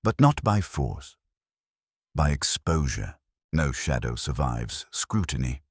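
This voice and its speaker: A man voicing an imperious, disdainful genius detective with calm, precise, pompous phrasing in an audiobook. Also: extremely low pitch, slow pace, husky, no echo, studio quality, full character voice. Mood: calm, resolute. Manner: precise, pompous, formal wording.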